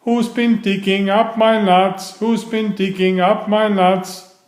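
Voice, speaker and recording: Man doing a high, singsong little bird. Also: level tone, slow, echoey room. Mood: innocent singsong. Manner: repetitive singsong chanting. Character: tiny innocent songbird chanting its natural call.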